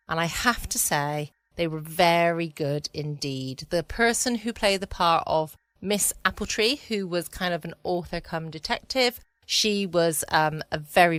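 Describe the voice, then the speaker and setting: Lowish-voiced English woman, dry close sound. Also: a bit bright, no echo. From United Kingdom. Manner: chatty, cheerfully self-deprecating. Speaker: podcast host. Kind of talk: casual bedtime podcast chat. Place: quiet room at home, dry close sound.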